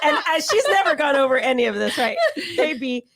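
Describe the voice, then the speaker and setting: A woman's voice: sits high, sing-song, fairly quick, gravelly, over a noisy crowd. A woman in her thirties with a playful, giggly manner, chatting casually as host of a live talk. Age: 30s. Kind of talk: casual live chat with co-hosts.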